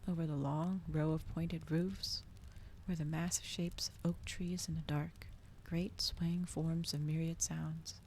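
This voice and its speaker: Woman, ASMR streamer, soft whispery voice, slow, very level intonation, steady ambience behind. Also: low voice, a little hiss or hum. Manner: hushed, slow, breathy. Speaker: streamer host reading aloud.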